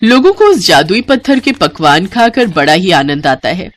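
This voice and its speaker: A woman's voice: lowish voice, sing-song, moderate pace. A woman narrating a scripted Hindi moral story as its storyteller.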